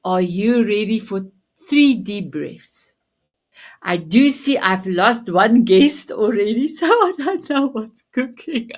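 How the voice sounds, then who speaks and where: A woman's voice: medium pitch, lively intonation, takes it slowly. A woman whose first language is Afrikaans, hosting a live-streamed motivational show in an upbeat, affirming way, full of endearments. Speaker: host.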